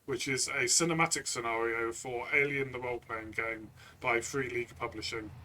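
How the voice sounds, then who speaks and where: A man's voice: medium pitch, steady tone, normal speed, sharp tone, slight background noise. A man co-hosting a review discussion in a casual, conversational style. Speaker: co-host and game reviewer.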